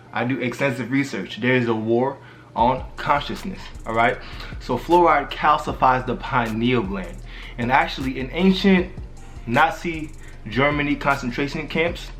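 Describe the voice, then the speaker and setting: A man's voice: mid-range voice, fairly bright tone. A man hosting his own spiritual vlog in casual slang, hyping up and directly addressing his viewers, with faint music under his voice. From Haitian background. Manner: street slang, hypes the viewers. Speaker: spiritual vlogger.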